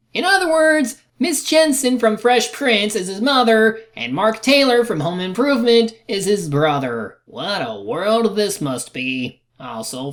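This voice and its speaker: A woman reviewer delivering scripted narration with a sarcastic manner. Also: lowish voice.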